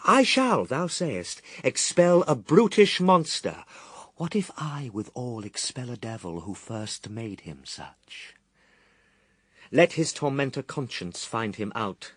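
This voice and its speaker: An actor playing Jesus as a stern, steadfast Son of God in a verse radio drama, solemn, declamatory and disdainful. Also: male voice, medium pitch, big pitch swings, slow, a bit bright, breathy edge, no echo, clean studio sound, exaggerated voice. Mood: scornful, resolute.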